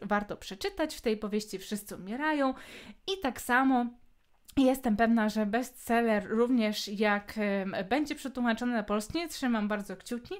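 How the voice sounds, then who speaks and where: A Polish woman hosting a book podcast, talking casually with many hesitation fillers. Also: a little high. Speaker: podcast host.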